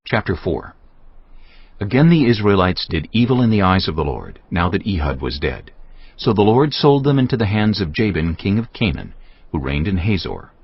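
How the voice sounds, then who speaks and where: A man narrating scripture as a dramatised story, solemn and measured in his delivery. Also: sits low, sing-song, slightly rough. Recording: dull audio with music behind. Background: music.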